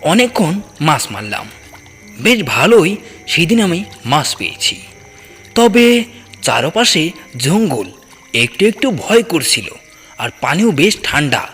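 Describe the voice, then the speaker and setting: Male narrator for a cartoon horror tale, slightly high voice, swinging expressive delivery, cavernous echo. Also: takes it slowly, pauses often. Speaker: storyteller narrating a first-person ghost tale. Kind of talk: dramatic scripted narration for a cartoon horror story. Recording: long cavernous reverb, music underneath. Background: background music.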